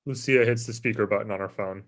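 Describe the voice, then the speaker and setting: Low-voiced man reading stage directions. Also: steady tone, quick pace. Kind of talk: scripted narration of screenplay directions.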